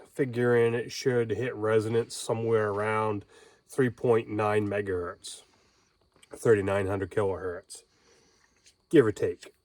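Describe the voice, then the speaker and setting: A man's voice: mid-range voice, darkish tone. A man talking casually and informally in a folksy way, explaining things as he goes. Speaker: homesteader and amateur radio operator vlogging.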